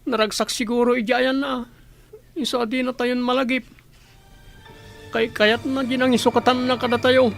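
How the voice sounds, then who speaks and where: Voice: mid-range voice, little pitch movement, normal speed, creaky, pauses often, faint music behind, natural voice. A performer playing a young, bitter and dismissive older brother in an Ilocano-accented radio drama. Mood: sullen. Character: older brother, young, bitter and resentful toward absent mother.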